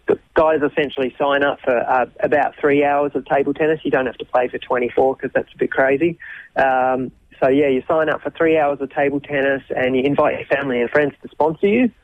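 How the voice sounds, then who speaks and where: Casual 40-something Australian guest on a radio interview, reflective, lots of restarts, phone-line sound. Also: male voice, mid-range voice, fairly even intonation, brisk. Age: 40s. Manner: thoughtful, many ums and restarts. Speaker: interview guest, youth minister.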